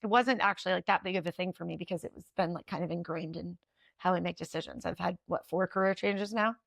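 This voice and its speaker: A woman in her thirties with a New England accent talks fast and casually as an interview guest. Her voice has a raspy edge, and she fills her sentences with "like." The recording is dry and close. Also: on the low side, fairly bright tone, no echo. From Connecticut, USA. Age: 30s. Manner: fast-talking, says "like" often. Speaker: podcast guest.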